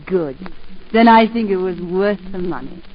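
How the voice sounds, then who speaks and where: Actress doing a wife and mother, gently teasing, lively pitch, muffled 1940s radio. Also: normal speed, slight background noise, close to an everyday voice. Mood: affectionate. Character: warm middle-aged English mother and wife, teasing. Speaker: narrator and lead. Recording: dull 1940s radio broadcast.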